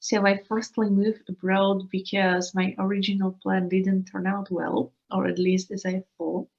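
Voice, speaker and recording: Woman from Slovakia, 30s, English, halting interview guest, muffled call audio. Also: medium pitch, darkish tone. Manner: hesitant, many restarts and fillers, laughs often. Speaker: guest, expat coach. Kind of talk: casual interview answers. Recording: slightly dull lo-fi call audio.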